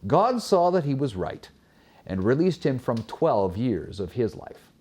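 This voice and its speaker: A man hosting and narrating folk tales from a script in an expressive, formal manner. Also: mid-range voice, unhurried. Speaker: storyteller, host.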